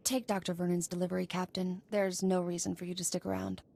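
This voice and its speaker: Hard-nosed game warehouse boss, fairly low voice, sardonic, blunt, wry. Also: female voice, little pitch movement, fast talker, creaky, dark tone. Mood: sarcastic. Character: tough, sardonic female smuggler and warehouse boss.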